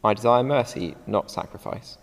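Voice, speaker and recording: A man reading the lines of a calm, authoritative teacher in a quiet, grave, slow way. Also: mid-range voice, gravelly, natural voice. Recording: long reverb, like a large hall.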